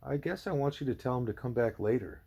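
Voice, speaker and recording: A man's voice: medium pitch, expressive, fast talker, gravelly, dark tone, slightly rough, voice pushed up. A man voicing a stubborn, frank young girl pupil in a storybook, blunt and casual, sometimes hesitant. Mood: guarded, insistent.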